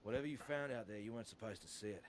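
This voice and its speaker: A man playing a gruff, sardonic survivor. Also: lowish voice, racing, slight background noise, close to an everyday voice. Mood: weary, bitter. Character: injured sardonic male survivor fond of whiskey.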